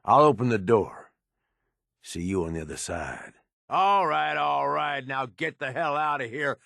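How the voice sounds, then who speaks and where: An actor playing a blunt, pushy, cunning raider schemer in a video game, with a streetwise American manner of speech. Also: male voice, mid-range voice, animated, a little slow, vocal fry, sharp tone, dry recording, studio quality, exaggerated voice. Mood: impatient, commanding. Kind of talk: video game character dialogue.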